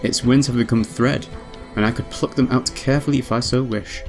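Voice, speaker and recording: A man's voice: mid-range voice, fairly even intonation, a little fast, darkish tone, close to an everyday voice. A man voicing a proud young witch who narrates her tale in a grave, formal, ornate way. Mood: solemn, intense. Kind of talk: dramatic visual novel narration. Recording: music underneath.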